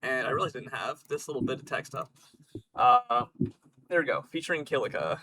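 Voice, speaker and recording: A man hosting a live stream, slightly hoarse, talking casually and thoughtfully with many fillers. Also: medium pitch, animated, fairly quick, sharp tone, slight background noise, rough recording. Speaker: stream host.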